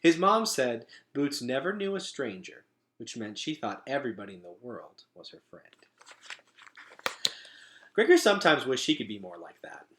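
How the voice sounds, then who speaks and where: A man reading a storybook aloud as narrator, in an expressive style. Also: medium pitch, moderate pace. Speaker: storybook reader.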